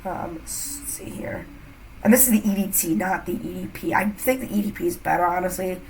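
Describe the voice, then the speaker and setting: A woman's voice: fairly low pitch, brisk, slight background noise, rough recording. A young woman with a cold, chatting casually to camera as a fragrance reviewer, rambling with lots of fillers. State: has a cold, a bit congested.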